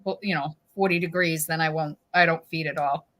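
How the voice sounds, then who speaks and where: A woman's voice: deep voice, steady tone, a little fast, a bit bright. A woman from New England with a New England accent, co-hosting a casual chat, laughing easily and trailing off mid-sentence. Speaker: co-host.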